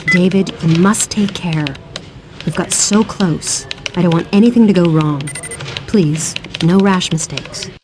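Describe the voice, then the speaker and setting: An actress voices a composed female mission controller in a military video game. She briefs operatives in a precise, businesslike way, with loud vehicle noise behind her. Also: on the low side, unhurried, close to an everyday voice. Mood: worried.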